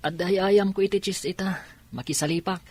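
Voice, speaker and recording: Casting: privileged young chess star, Filipino radio drama, husky and self-assured. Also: female voice, fairly low pitch, fairly even intonation, moderate pace, darkish tone. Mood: confident, breezy. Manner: confident, boastful.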